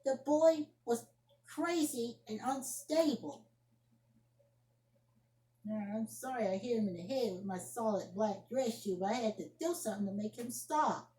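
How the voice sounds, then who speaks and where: A woman acting an indignant young woman in a solo amateur monologue, scolding and defending herself emphatically with repetitions and halting restarts. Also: a little high, dark tone, lightly acted. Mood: defensive. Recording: echoey room.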